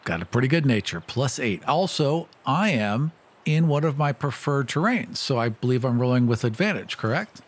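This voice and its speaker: A man's voice: mid-range voice, lively intonation, moderate pace. A man playing a tabletop game, casually and wryly explaining his character and moves.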